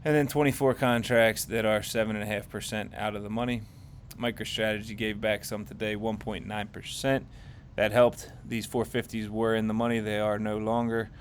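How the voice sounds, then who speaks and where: A man hosting a casual market recap, talking through trades in a rambling, conversational way with lots of fillers while his voice grows hoarse. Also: lowish voice, flat delivery, normal speed, few pauses, slight background noise. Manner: conversational, rambling, many fillers and self-corrections. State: voice getting hoarse, losing his voice. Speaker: finance channel host.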